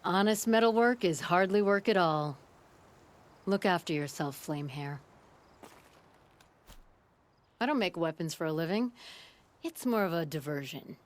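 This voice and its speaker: A woman's voice: lowish voice, lively intonation, moderate pace, close to an everyday voice. An actress playing a brash, flirty female metalworker in a video game, blunt, cheeky and teasing. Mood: relaxed, fond. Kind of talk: video game dialogue acting.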